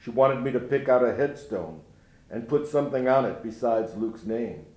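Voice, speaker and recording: A man's voice: mid-range voice, lively intonation, normal speed, a little mellow, lightly acted. An actor playing a grieving older grandfather who speaks in a folksy, rueful way in a dramatic monologue. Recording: roomy echo.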